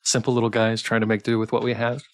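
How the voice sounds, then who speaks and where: A man's voice: mid-range voice, completely flat delivery, fast. A man hosting a casual livestream interview, effusive and encouraging with the guest. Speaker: stream host, interviewer.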